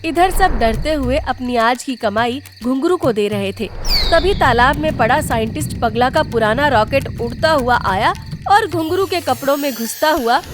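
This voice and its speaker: A woman narrates a cartoon story from a script. Her voice sits high in pitch, and she delivers it as storytelling. Music is clearly present behind her. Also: expressive, moderate pace.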